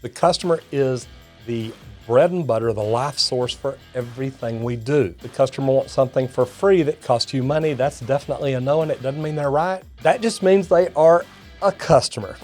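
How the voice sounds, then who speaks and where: A man's voice: mid-range voice, moderate pace, a little mellow, faint music behind. A middle-aged or older man with a Texan accent, a plumber hosting a video, reading comments aloud and reacting in a folksy way. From Texas, United States. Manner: folksy, addresses viewers as y'all and guys. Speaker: master plumber and video host.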